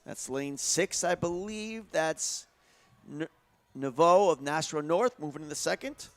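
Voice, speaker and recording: Live track commentator: man with a higher-set voice, conversational delivery that builds with the race. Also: lively intonation, measured pace.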